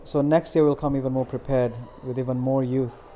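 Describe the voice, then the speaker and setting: A middle-aged man answers questions earnestly and at a measured pace, pausing often on fillers. His voice is mellow and dark, sitting in an ordinary middle pitch. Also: little pitch movement, a little hiss or hum. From India. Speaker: interviewee, community organizer. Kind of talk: interview answers.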